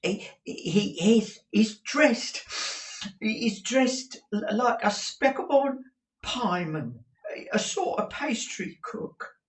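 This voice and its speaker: A man voicing a nervous, lowly male clerk with a stuffy cold who stammers and hesitates. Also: animated, slow, fairly bright tone, heavily acted. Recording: roomy audio from a distant mic.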